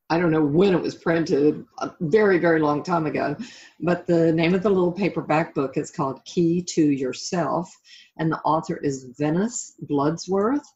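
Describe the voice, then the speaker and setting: A woman's voice: low voice, a little mellow. Middle aged lady answering interview questions, kinda reflective and chatty, dull lo-fi call sound. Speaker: interview guest, author and life coach. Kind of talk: casual interview chat.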